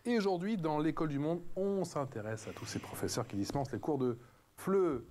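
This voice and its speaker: A man's voice: fairly high pitch, animated, a little fast, mellow, slight background noise. A man presenting a television magazine show in a scripted broadcast style. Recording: TV broadcast with music bed. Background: music.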